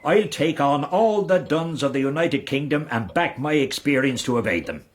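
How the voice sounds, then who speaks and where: An actor playing a roguish, fawning Irish knight and debt-dodging fixer in a radio period drama, speaking with an Irish accent and theatrical flourishes. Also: male voice, on the high side, animated, normal speed, natural voice. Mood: jaunty, cynical. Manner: theatrical, ingratiating, rhetorical flourishes.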